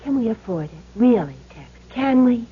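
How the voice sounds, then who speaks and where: A muffled old radio drama recording of a tender, practical young wife expecting a child. Her voice is husky and affectionate, gently questioning. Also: a little high, expressive, moderate pace. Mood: tender, unsure. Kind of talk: 1970s radio drama acting.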